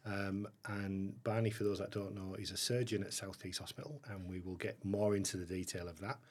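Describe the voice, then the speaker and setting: Sex: man. Role: fast-talking, husky interviewer. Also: low voice, flat delivery, darkish tone. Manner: friendly, prompting follow-up questions. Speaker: podcast host, interviewer. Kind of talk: interview questions.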